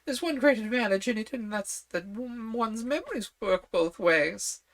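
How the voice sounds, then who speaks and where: Scatterbrained old storybook queen, high and fluttery, a woman reading it. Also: lively intonation, moderate pace.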